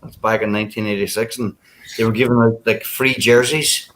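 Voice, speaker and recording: A man's voice: lowish voice, steady tone, fairly quick, a bit bright, low-quality recording. A man in his forties in a casual livestream chat, reminiscing unhurriedly with many fillers over a call mic. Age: 40s.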